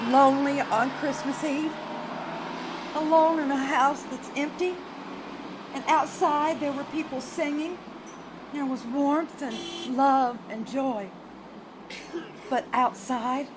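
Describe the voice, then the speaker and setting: A woman's voice: high voice, fairly even intonation, slow pace, long pauses, background noise. A woman playing an anguished, protective caretaker of a grieving little girl in an old-time radio drama, earnest and emphatic. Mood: anguished, pleading.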